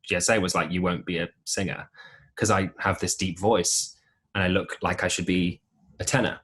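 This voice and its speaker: A young man with a deep voice telling stories in a casual podcast interview, with plenty of ums and restarts. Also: moderate pace, slightly rough. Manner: relaxed storytelling with many ums and restarts. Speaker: podcast guest, musical theatre performer.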